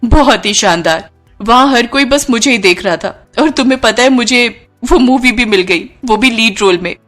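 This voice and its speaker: A woman's voice: fairly high pitch, moderate pace, fairly bright tone, faint music behind, voice pushed up, lightly acted. A woman plays a glamorous young actress in a cartoon tale. She sounds confident and effusive, bossing affectionately like an elder sister, with grateful feeling in her delivery. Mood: excited. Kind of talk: Hindi cartoon story dialogue.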